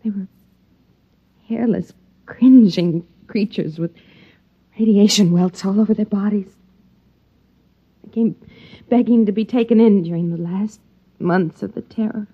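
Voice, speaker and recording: An actress playing a lonely, yearning wife in a 1950s radio drama, speaking in hushed tones. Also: female voice, medium pitch, measured pace, long pauses, slightly rough. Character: lonely wife in a fallout shelter. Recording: dull old radio broadcast with music.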